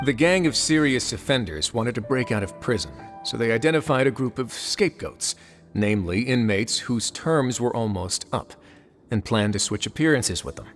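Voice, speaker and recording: Game duke, male actor: mid-pitched, authoritative, measured investigator, music clearly behind. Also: lively intonation, lightly acted. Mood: composed explaining. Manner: measured, analytical. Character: composed, authoritative male duke solving a case. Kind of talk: video game character acting, explanatory.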